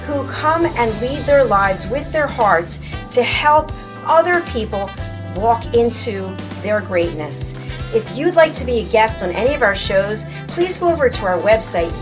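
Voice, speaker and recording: An older woman hosting a show, delivering an upbeat welcome and then chatting warmly with a guest. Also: a little high, big pitch swings, unhurried, music in the background. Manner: enthusiastic, laughs often. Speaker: host and network president. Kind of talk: scripted intro then casual chat.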